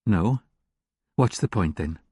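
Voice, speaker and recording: A man voicing a persistent woman investigator who presses a source with insistent questions, husky-voiced. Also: sits low, expressive, takes it slowly, dark tone, heavily acted. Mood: probing.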